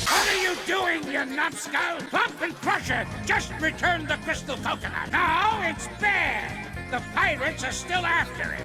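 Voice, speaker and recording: A grouchy old ape from a cartoon, barking sarcastic insults at everyone around him. His voice is gravelly and full of creak, and it leaps all over the place in pitch. Music plays loudly behind him. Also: exaggerated voice. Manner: scolding, sarcastic, barks insults. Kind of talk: cartoon voice acting.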